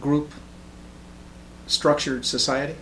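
A man's voice: on the high side, flat delivery, slow pace, a bit bright. Man, host, hesitant, lots of uhs, roomy echo, faint hum. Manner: hesitant, many restarts and uhs. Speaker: show host. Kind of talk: casual interview hosting.

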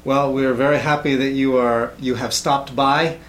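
A man's voice: medium pitch, fairly even intonation, moderate pace, fairly bright tone, echoey room, a little hiss or hum. A man hosting a casual interview show, talking hesitantly with many uhs and restarts. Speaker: show host.

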